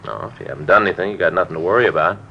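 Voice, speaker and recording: An actor playing a polite, steady American police detective in a crime drama, laconic and civil as he speaks. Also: sits low, rapid-fire, vocal fry. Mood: firm. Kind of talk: mid-century American crime drama acting. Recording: dull vintage broadcast, faint noise.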